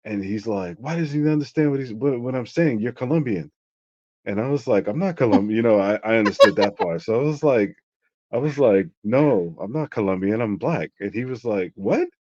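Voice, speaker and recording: A man's voice: medium pitch, lively intonation, a little fast, a little mellow. A middle-aged man telling a story in a casual, rambling way, full of "like". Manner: rambling, lots of "like". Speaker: interview guest. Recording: dull lo-fi sound.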